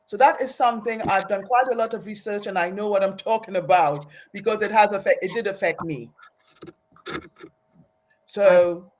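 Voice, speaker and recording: A woman's voice: mid-range voice, normal speed, a little mellow, poor audio. A woman from Aruba, raised in Trinidad, telling her personal story as an interview guest in an earnest, reflective way and often restarting phrases.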